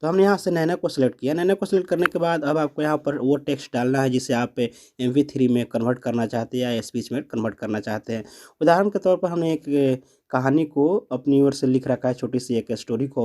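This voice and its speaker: A man's voice: medium pitch, fairly quick, noisy background. A man hosting a step-by-step app tutorial, explaining instructively to viewers. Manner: instructional, addressing viewers as friends. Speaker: tutorial host.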